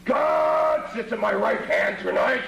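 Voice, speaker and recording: A woman's voice: fairly high pitch, animated, slow pace, creaky, muffled recording, full character voice. A woman playing a defiant, fervent devotee of Satan in theatrical, declaiming film dialogue. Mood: fervent shouting. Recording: echoey, hum and faint noise.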